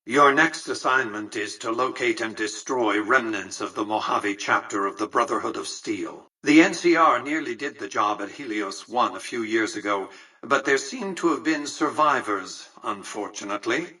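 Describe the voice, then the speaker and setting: Imperious, husky-edged, bright-voiced old tycoon. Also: male voice, mid-range voice, expressive, moderate pace, far from the mic, rough recording. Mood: dry, measured. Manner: formal, articulate, condescending, dryly superior. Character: cold, imperious old male tycoon ruling a casino city. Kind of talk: scripted video game character dialogue.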